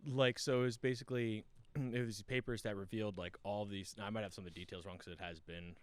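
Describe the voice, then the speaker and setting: A man with a very deep voice talks casually on a podcast. He explains things at length and pads his sentences with fillers. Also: fairly even intonation, fast, clean tone, no room sound.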